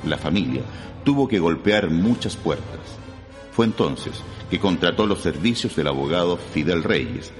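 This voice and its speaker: Male crime narrator: very low, expressive voice, long reverb, music underneath. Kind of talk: dramatic scripted true-crime narration.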